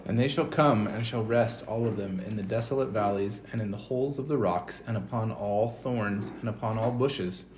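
A man's voice: lowish voice, normal speed, hardly any pauses, a little mellow. Man reading in a roomy echo. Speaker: family member leading a scripture reading. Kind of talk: reading scripture aloud and casual commentary. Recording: roomy echo, faint background noise.

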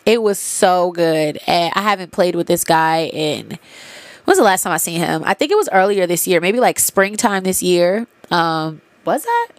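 A woman's voice: fairly low pitch, very animated, moderate pace, no echo. A young adult woman hosting a podcast with a congested nose, telling a story in a loose, candid way full of fillers and swearing. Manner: candid, profane, loose retelling with many fillers. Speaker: podcast host. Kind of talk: casual storytelling monologue.

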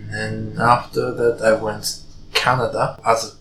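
A man's voice: lowish voice, flat delivery, a little slow, music in the background. Podcast guest: Japanese-accented man, hesitant simple English, laughs easily, roomy sound. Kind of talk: casual chat.

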